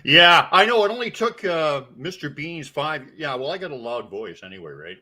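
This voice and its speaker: A man's voice: on the high side, big pitch swings, moderate pace, pushed and bright. A man appearing as a guest on a casual live stream, rambling loosely and swearing casually.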